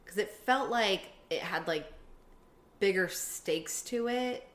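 A woman's voice: medium pitch, slow pace, a bit bright. A woman in her thirties with a slight lisp, chatting casually as a podcast co-host and peppering her talk with "like". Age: 30s. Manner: chatty, fills speech with "like". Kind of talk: casual chat recapping a sitcom episode.

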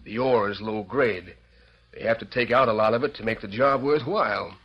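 An actor in an old radio drama playing a settlement leader who speaks in a grave, deliberate way. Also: male voice, lowish voice, breathy edge. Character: sober male settlement leader, reluctant fighter. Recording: dull vintage radio recording.

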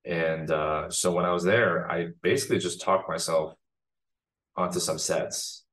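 Super deep voiced guy from the us, reflective, kinda halting, casual interview. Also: steady tone, a bit bright, slight background noise. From United States. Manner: reflective, thoughtful, many pauses. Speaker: guest filmmaker.

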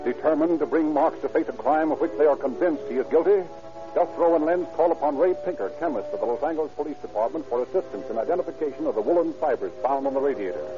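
A man's voice: fairly high pitch, expressive, fast talker. A man narrating an old-time radio crime drama in a formal, dramatic announcer's delivery. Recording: dull vintage radio recording. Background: music.

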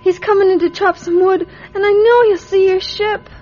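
A woman's voice: very high-pitched, fairly even intonation, moderate pace, darkish tone, faint music behind. A woman playing an earnest, curious nine-year-old farm girl in a radio drama, with a childlike, hesitant, pleading manner. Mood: earnest, worried. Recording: dull radio recording, faint noise.

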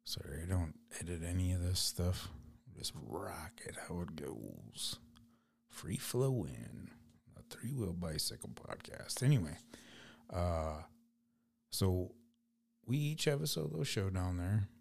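Raspy, deep-voiced man rambling slowly. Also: expressive. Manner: rambling, loose, laid-back. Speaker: podcast host. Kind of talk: casual solo podcast chat.

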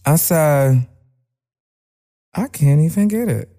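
A man's voice: on the high side, expressive, slow, mellow. A man, a rapper guest talking in a laid-back, rambling, slangy way. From Brooklyn, New York. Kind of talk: casual interview chat.

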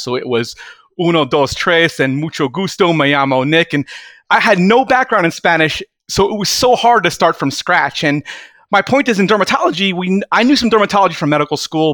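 An eager young man hosts a podcast in a lively, chatty way. His voice sits a little high. The sound is dry and close, with no room around it. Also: dry recording. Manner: enthusiastic, animated, chatty. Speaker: podcast host. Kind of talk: upbeat podcast hosting.

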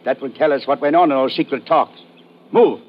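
A man's voice: mid-range voice, brisk, slight background noise, natural voice. A man as a haughty, commanding radio villain. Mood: menacing, commanding. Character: scheming male ringleader villain, commanding, haughty uncle. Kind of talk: old-time radio drama acting. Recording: dull vintage radio recording.

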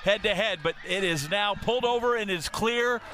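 A man giving excited live sports commentary as a race commentator. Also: high voice, moderate pace. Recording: live broadcast, clear background noise.

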